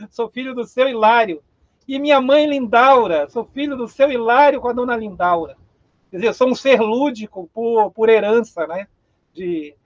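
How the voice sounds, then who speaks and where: A man from southern Brazil, a guest writer, telling childhood stories in a casual chat, excited and rambling with frequent restarts. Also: high voice, no room sound, highs cut off.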